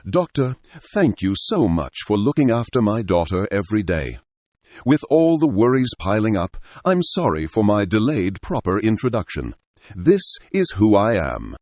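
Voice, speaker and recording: A man's voice: fairly low pitch, very animated, moderate pace, a little mellow, no room sound. A man voicing a polite, grief-stricken elderly father, courteous and formal, in an audio story. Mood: grateful.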